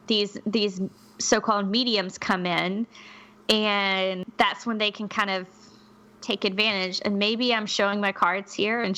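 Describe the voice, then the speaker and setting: Podcast lady, bright voice, earnest and thoughtful, laughs a little. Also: medium pitch. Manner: earnest, reflective, laughs lightly. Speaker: podcast co-host. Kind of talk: casual podcast discussion.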